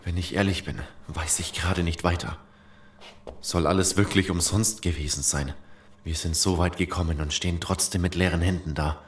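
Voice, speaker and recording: Actor as disheartened male survivor, low pitch, sober, audio drama, roomy echo. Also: fairly even intonation, moderate pace, natural voice. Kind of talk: German audio drama acting. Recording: echoey space, faint noise.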